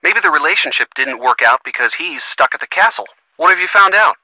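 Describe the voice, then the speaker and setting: An actor playing an earnest, gently sardonic young American boyfriend in a video game, talking conversationally and expressively with a youthful voice. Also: male voice, on the high side, animated, fairly quick, no echo, muffled recording, full character voice. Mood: curious, thoughtful. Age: young adult. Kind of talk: video game phone-call dialogue.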